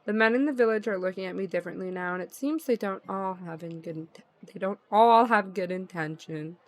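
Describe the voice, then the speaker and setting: A woman's voice: medium pitch, fairly quick. A woman livestreamer reading visual novel narration aloud and reacting in a playful, slangy way. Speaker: livestream gamer.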